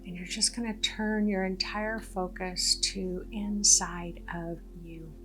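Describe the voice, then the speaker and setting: A woman leading a guided meditation in a gentle, deliberate way, pausing between short phrases. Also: medium pitch, steady tone. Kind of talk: guided meditation, soothing narration. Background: background music.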